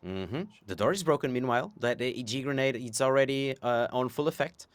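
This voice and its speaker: A man giving casual, excitable color commentary on an esports match, thinking aloud as he breaks down the teams' plans. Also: medium pitch, a little fast, dry recording. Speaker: esports co-caster.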